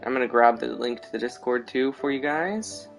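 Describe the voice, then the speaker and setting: A man's voice: medium pitch, normal speed, gravelly. A male streamer talks over game music in a mid-range voice. He is informal and chatty, giving running gameplay commentary as he plays. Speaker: game livestream host. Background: video game music.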